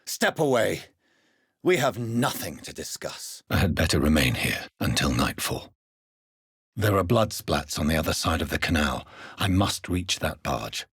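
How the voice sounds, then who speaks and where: An actor playing a grave, courteous British gentleman doctor turned vampire in a video game, speaking formally and with intensity in a husky voice. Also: extremely low pitch, sing-song, dry recording, clean studio sound, heavily acted. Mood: stern, menacing, then brooding, troubled. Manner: formal, measured, articulate, intense. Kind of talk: video game voice acting, scripted dramatic dialogue.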